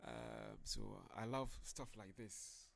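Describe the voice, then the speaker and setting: A man from Ghana chats as a podcast guest with a low voice and a very animated, swinging pitch. He is playful and often repeats his words. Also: takes it slowly, creaky. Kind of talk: casual group discussion.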